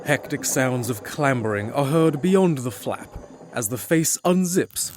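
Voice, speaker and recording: A man's voice: mid-range voice, animated, measured pace, slight background noise. Male narrator of a fantasy tale. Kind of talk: scripted fantasy story narration.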